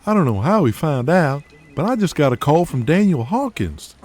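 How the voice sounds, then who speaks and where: A man voicing a nervous, dumbstruck office underling in an audio drama, flustered and excitable. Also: on the high side, normal speed, faint music behind, pitched above the performer's own voice.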